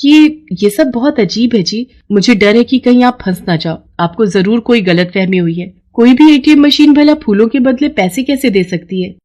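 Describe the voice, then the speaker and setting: A woman's voice: fairly high pitch, moderate pace, few pauses, a little mellow, natural voice. Woman acting a worried, cautious village wife. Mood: worried, doubtful. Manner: anxious, questioning. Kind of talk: animated moral story dialogue.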